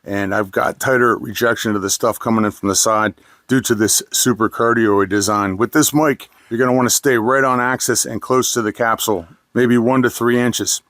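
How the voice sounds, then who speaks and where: A man's voice: mid-range voice, steady tone, moderate pace, fairly bright tone, no echo. Middle-aged man, nasal voice, casual reviewer, dry close sound. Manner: plain, friendly, conversational. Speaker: product reviewer. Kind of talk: product review.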